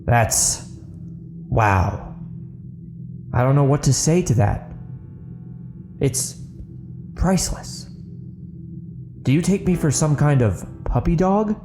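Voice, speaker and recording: A man's voice: a little high, animated, slow, pauses often, warm tone, soft background music, pitched above the performer's own voice. A man voicing a bitter, unhinged male prisoner in a dramatic audio book, with erratic, mocking emphasis. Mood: mocking, indignant.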